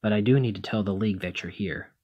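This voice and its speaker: A man playing a protective, conflicted demigod hero in a one-sided ASMR roleplay, speaking emotively and haltingly to the listener. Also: lowish voice, highs cut off, lightly acted. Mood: worried, firm. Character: brawny male demigod hero, protective, conflicted, exasperated lover.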